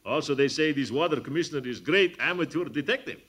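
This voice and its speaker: A man's voice: on the high side, fast, fairly bright tone, husky. A man doing a put-on foreign dialect as a fake immigrant gardener speaking broken English, in 1940s radio comedy. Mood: bluffing.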